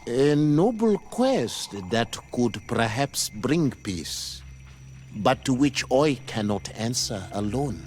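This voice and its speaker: Video game spiritual leader: slow, solemn, formal and dignified, melodic delivery, music underneath. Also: male voice, medium pitch, sing-song. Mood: gracious, measured. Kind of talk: scripted video game acting.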